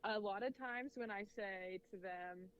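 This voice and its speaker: A woman in her thirties, a volleyball coach, talking in a casual interview in a measured, reflective way with easy laughs. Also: mid-range voice, smooth. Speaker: head volleyball coach, podcast guest. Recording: dull lo-fi audio with faint noise.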